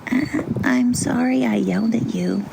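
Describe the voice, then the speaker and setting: A woman voicing a small young male pumpkin in a storybook, wistful, excitable and pleading, eager to be picked. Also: fairly high pitch, a little slow, gravelly, heavy background noise, pitched above the performer's own voice. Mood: sheepish. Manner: exclaiming, pleading, hesitant.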